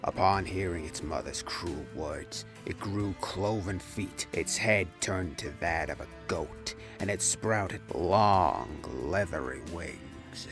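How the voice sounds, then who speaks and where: Grave monster-legend presenter for audio drama, played by a man: deep, unhurried, theatrical and ominous, over a music bed. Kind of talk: dramatic documentary-style scripted narration.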